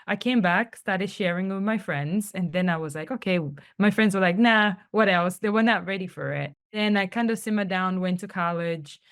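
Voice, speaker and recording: A woman's voice: medium pitch, moderate pace, few pauses, clean tone. A young woman from Tanzania, a podcast guest, telling her story in a chatty way full of fillers and acted-out quotes. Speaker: guest, travel company founder. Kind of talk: casual podcast interview.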